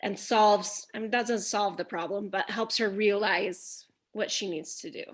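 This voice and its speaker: A woman, an actress, talking casually and haltingly, in a tentative way, on a panel. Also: mid-range voice, fairly even intonation. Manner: halting, tentative, seeks confirmation. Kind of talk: casual panel talk about a role.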